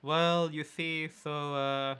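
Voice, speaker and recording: A young man with a London accent chatting casually with his partner in a relaxed, wordy way, sometimes searching for words. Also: a little high, slow, clean tone. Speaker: photographer and vlogger, co-host.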